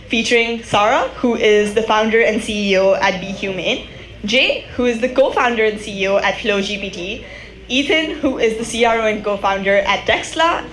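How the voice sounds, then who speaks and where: A woman's voice: medium pitch, a bit bright. A woman hosting an event, giving a prepared introduction to a panel. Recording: echoey room, live event PA.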